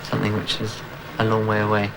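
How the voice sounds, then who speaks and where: A man's voice: on the low side, completely flat delivery, quick pace, vocal fry. A young man, a new army officer, giving formal, hesitant interview answers while searching for words. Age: young adult. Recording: noisy field recording.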